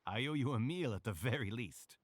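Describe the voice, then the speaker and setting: An actor playing a hearty, emphatic male stage performer in a video game, quick to gush or get exasperated. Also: medium pitch, animated, no room sound, faint music behind, natural voice. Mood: grateful, friendly.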